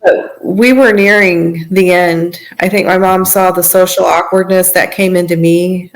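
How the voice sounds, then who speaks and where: A woman's voice: on the low side, fairly even intonation, measured pace, rough recording. A woman giving personal testimony as a podcast guest, in informal, conversational storytelling.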